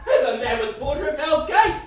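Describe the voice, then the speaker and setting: An actor playing a drunken comic porter on stage, laughing and bellowing, recorded from far off in an echoey hall. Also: male voice, very high-pitched, expressive, a little fast, noisy background. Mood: drunken, jolly. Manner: laughing, rowdy, shouting knocks. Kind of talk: stage play performance. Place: theatre stage in a large hall. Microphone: distant room mic.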